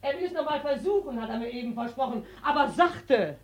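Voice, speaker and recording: An actress playing a gruff, bossy old female river spirit in 1940s radio drama, coarse and scornful but motherly. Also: sits high, lively intonation, brisk, dry recording. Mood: calling out, bossy. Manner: coarse, commanding, scolding.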